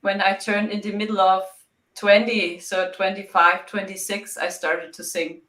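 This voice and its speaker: German woman, bright echoey voice. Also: medium pitch, little pitch movement. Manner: halting, simple phrasing with frequent "yeah". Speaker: guest. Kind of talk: interview answers.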